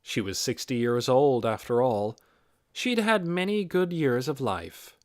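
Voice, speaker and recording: Expressive male audiobook narrator, dry close sound. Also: mid-range voice, unhurried, no echo.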